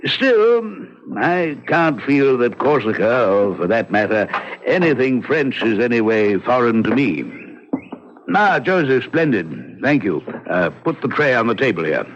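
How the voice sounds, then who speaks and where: An actor playing a huge, hearty, polite English gentleman in an old radio drama, genial, clipped and aristocratic in manner. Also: mid-range voice, big pitch swings, unhurried. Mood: genial, assured. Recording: muffled, noisy old radio broadcast.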